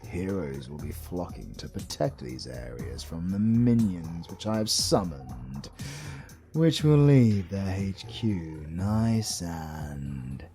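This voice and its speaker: Casting: male actor as slow-talking British supervillain, suave and teasing, low-pitched, for romantic comedy audio drama. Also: big pitch swings, warm tone, faint music behind, heavily acted. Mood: smug, scheming. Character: suave, sardonic male supervillain, playfully flirtatious.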